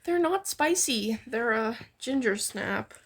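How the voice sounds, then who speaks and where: Woman as a bashful, husky, earnest young man. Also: a little high, lively intonation, slow pace, full character voice. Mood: bashful, defensive. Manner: hesitant, earnest. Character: bashful, gentle young man defending his baking. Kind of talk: fan fiction read aloud.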